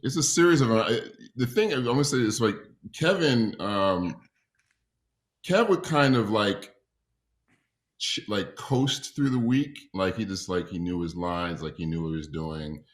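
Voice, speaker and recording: A low, deep-voiced man tells an informal story on a video call. He goes at it haltingly, circling back and dropping "like" into his sentences. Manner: halting, peppered with "like". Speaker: actor, cast member. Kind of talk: casual anecdote.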